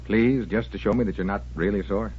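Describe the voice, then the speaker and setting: An earnest troubled pal in an old radio soap, lowish husky voice, muffled recording. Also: male voice, a little mellow. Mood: apologetic, earnest. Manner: blunt, halting, self-deprecating. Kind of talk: 1940s radio soap acting.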